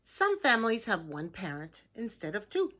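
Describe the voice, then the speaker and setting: A woman reading a children's picture book aloud like a teacher, in a deliberate, expressive way, with hushed chatty asides to her listeners. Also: medium pitch. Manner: slow, expressive, simple deliberate phrasing.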